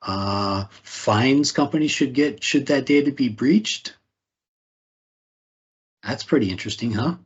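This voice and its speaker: A man's voice: medium pitch, expressive, unhurried, pauses often, breathy edge, lo-fi sound. A man leading a talk on privacy, posing rhetorical questions and often tagging them with "right?". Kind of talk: conversational presentation.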